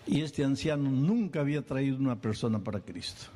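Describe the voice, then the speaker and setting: A man preaching a sermon, animated, acting out little scenes and firing off questions. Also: mid-range voice, a little fast.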